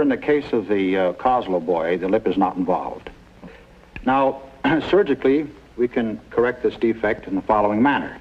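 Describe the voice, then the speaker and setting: An actor playing a kindly, measured surgeon in a 1950s television medical drama. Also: male voice, on the low side, breathy edge. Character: kindly, measured male surgeon explaining and reassuring. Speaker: doctor. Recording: dull vintage television soundtrack, music behind.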